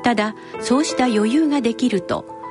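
A woman's voice: fairly high pitch, normal speed. A woman narrating a scripted radio drama. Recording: clear music behind the voice.

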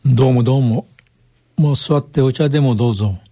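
男性が演じる dark-voiced, husky counselling doctor. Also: male voice, mid-range voice, brisk, no echo, studio quality, lightly acted. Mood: welcoming. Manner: informal, advisory. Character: kindly, unhurried male doctor counselling a couple. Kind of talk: amateur radio drama acting.

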